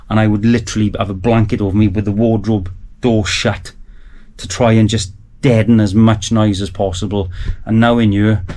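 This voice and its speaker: A man's voice: fairly low pitch, mellow. A man in his forties, chatting enthusiastically and a little rambly to camera from his small home studio. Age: 40s. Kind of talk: casual chat to camera. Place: small sound-proofed garage studio.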